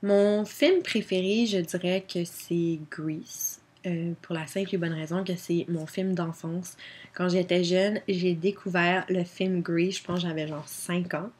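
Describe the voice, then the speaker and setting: A woman's voice: fairly low pitch, fairly even intonation, measured pace, darkish tone. A young woman with a Québécois French accent chatting casually to her webcam, answering questions with many fillers. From Quebec. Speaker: vlogger.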